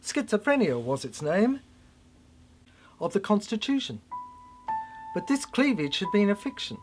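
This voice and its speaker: A narrator performing a theatrical spoken-word monologue in an emphatic way, with halting repeats. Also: medium pitch. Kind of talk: dramatic spoken-word narration of a cryptic science-fiction monologue.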